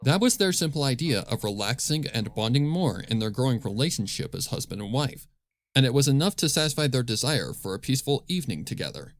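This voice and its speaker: Man narrating a story, medium pitch. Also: very animated, fairly quick, no room sound. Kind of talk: scripted fan fiction story narration.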